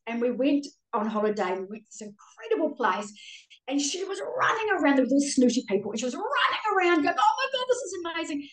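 A woman's voice: high voice, sing-song, fast. A middle-aged woman with a New Zealand accent, chatting candidly and casually as a podcast guest in an effusive, colloquial way. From Auckland, New Zealand. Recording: roomy echo, distant from mic.

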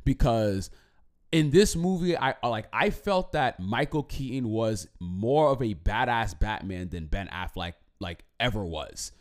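A man co-hosting a podcast, chatting casually about a movie in a rambling way, with many restarts and a throat that is going hoarse. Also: lowish voice, a little slow. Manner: rambling, repeats and restarts, animated. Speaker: podcast co-host. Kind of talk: casual movie review chat.

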